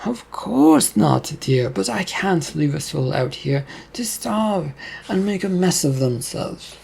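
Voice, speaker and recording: A man playing a kindly, soft-hearted preacher in an audiobook story, gentle, placating and pious. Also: high voice, big pitch swings, slow, vocal fry, husky, pitched above the performer's own voice, full character voice.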